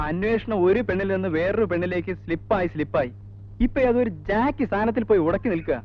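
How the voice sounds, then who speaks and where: Actor as a high-pitched, chatty fellow. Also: male voice, fast talker, natural voice. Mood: gossipy. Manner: colloquial, mixing in English words. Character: chatty, informal man in a film drama. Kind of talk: 1980s Malayalam film acting. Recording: dull old film soundtrack.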